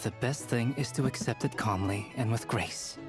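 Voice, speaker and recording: A man playing a calm, gracious young alchemist. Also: mid-range voice, close to an everyday voice. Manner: calm, formal, measured. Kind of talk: video game voice acting. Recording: game music behind.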